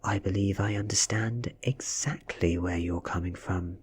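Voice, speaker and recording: Man voicing a soft, husky ancient vampire girl. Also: low voice, steady tone, unhurried, heavily acted. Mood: cool, knowing. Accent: British. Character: ancient female vampire posing as a teasing schoolgirl.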